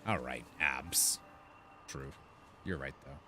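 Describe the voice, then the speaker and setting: A man's voice: very deep voice, slow, sharp tone. A man giving casual commentary while playing a fantasy game and reading quest text aloud. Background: game music.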